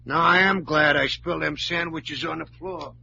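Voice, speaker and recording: An actor playing a cheeky hotel waiter with a hoarse, damaged-throat croak, in old-time radio drama style. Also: male voice, fairly high pitch, fairly even intonation, moderate pace. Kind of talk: old-time radio comedy character acting. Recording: muffled, hissy old radio recording.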